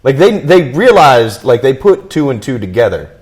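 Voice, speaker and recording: A man's voice: medium pitch, big pitch swings, moderate pace, slightly rough. A man hosting a podcast, telling stories in a jokey, casual way with some swearing. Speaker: podcast host. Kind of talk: casual chat and banter.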